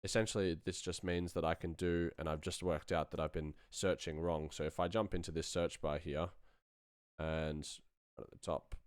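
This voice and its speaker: A man giving an informal, self-deprecating tutorial on sound design software in casual, slangy language. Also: low voice, flat delivery, moderate pace, smooth, no room sound, clean studio sound. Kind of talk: casual live-streamed software demo.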